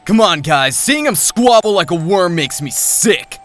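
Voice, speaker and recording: A man's voice: high voice, very animated, unhurried, fairly bright tone, faint music behind, heavily acted. A man playing an angry, sneering teenage school bully in an anime-style audio drama. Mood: contemptuous. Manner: menacing, sneering.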